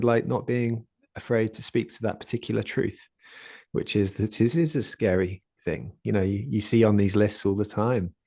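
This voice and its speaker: A soft-spoken man in his late twenties or thirties, talking as a guest on a video call, hesitant with many fillers and restarts. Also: on the low side, no room sound, clean studio sound. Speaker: guest speaker, community founder. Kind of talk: conversational talk in an online session.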